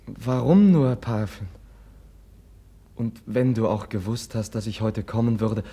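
An actor playing a gentle, melancholy gentleman in a German radio drama, soft and breathy with an earnest manner. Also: on the low side, unhurried. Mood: searching, troubled.

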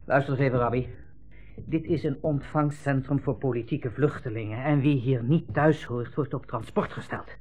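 A man's voice: mid-range voice, moderate pace, darkish tone, lightly acted. A man acting a brusque, cynical army captain in a 1960s Dutch radio play, with an offhand, dismissive manner. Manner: hesitant hemming, offhand, dismissive.

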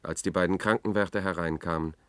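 An actor playing a haunted reporter whom nobody believes, narrating in a German science-fiction radio drama with brooding, measured intensity. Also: male voice, low-pitched, fairly even intonation, fairly bright tone, smooth, no echo. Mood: tense, unravelling. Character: haunted male reporter, disbelieved, obsessive and increasingly unravelling.

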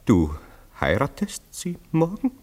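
An actor in a German radio play, playing a worldly, mocking confidant, ironic and teasing. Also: male voice, a little high, huge pitch swings, takes it slowly, a little mellow, full character voice. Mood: impatient, probing. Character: dry, sardonic male confidant, worldly and mocking.

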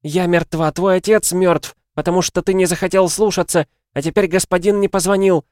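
A man voicing a deranged ghostly mother in an audiobook, loud, drunken and accusing. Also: on the high side, normal speed, dry recording, clean studio sound, pitched above the performer's own voice, close to an everyday voice. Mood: furious. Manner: loud, slurring, screaming.

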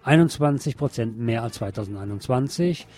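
A man's voice: medium pitch, expressive, moderate pace, mellow. A man presenting news items as a scripted magazine-style read. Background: music.

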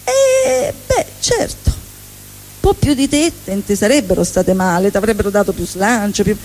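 A woman's voice: mid-range voice, expressive, unhurried, darkish tone, faint music behind, rough recording. A woman putting on her older mother, a nagging mum who hands out backhanded compliments. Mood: fussing.

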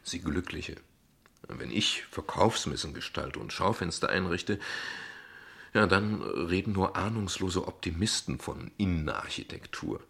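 A courteous, philosophizing father in a radio play. His voice is deep, creaky and husky. He speaks reflectively and articulately, with slight hesitations and dry wit. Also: lively intonation, measured pace, bright, forceful tone, full character voice. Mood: wry, friendly. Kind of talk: naturalistic German radio play acting.

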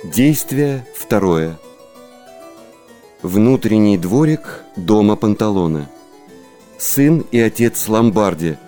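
A man's voice: fairly low pitch, expressive, takes it slowly, long pauses, warm tone. A man narrating a radio play, setting the scene in a scripted theatrical style. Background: harpsichord music.

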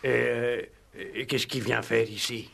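An actor playing a slow-witted, over-familiar old village policeman in a French radio play, naive, rustic and bewildered. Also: medium pitch, level tone, gravelly, a bit bright, exaggerated voice. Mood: bewildered, curious. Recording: vintage 1960s radio recording.

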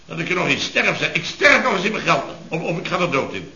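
Een acteur die een mopperende, opschepperige en zielige rijke aannemersbaas speelt, pompeus en nadrukkelijk, in een oude radiokomedie. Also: male voice, fairly high pitch, very animated, quick pace, creaky, a crowd in the background. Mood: aggrieved, blustering. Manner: pompous, grumbling, emphatic, repeats himself. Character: blustery, self-pitying, boastful rich building contractor boss. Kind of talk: 1970s radio comedy acting. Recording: dull, roomy vintage radio recording.

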